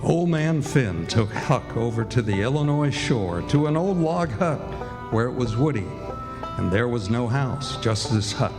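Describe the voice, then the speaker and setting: An actor playing a plain storyteller, narrating a backwoods tale as scripted radio drama. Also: male voice, mid-range voice, lively intonation, measured pace. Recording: echoey hall, live stage performance. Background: music under the voice.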